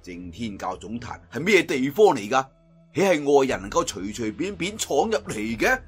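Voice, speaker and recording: A man's voice: mid-range voice, sing-song, moderate pace, husky, soft background music. A man with a Cantonese accent narrating a martial arts tale in a theatrical, colloquial storytelling style. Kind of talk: dramatic scripted wuxia story narration.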